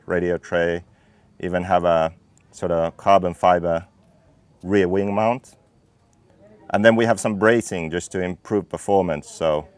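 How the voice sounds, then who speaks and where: Deep voiced finnish guy in his 30s walking through his race car parts, steady, dry mic. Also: no room sound. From Finland. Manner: measured, technical explaining. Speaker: RC racing team owner and driver. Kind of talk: interview talk about his car.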